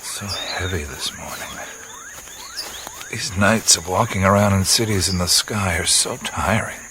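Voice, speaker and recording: An actor playing a relaxed, wry adventurer in a radio drama, speaking in an easy drawl. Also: male voice, deep voice, measured pace, noisy background. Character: easygoing adventurer man, wry and dreamy. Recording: jungle ambience with insects and birds.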